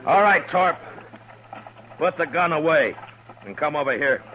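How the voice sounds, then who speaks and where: A man playing a stern, weary Old West marshal in a radio western, with terse, deadpan delivery. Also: a little high, a little slow, lo-fi sound, slight background noise. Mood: commanding. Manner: terse, deadpan hard-boiled narration. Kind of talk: 1950s radio western acting. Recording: old 1950s radio recording, music behind.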